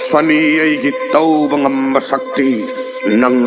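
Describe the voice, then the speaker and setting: An actor playing a proud, vengeful male god in a mythological audio drama, declaiming theatrically with threats and sudden shouts. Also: medium pitch, flat delivery, very fast, natural voice. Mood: indignant, wrathful. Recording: dull lo-fi audio with loud music.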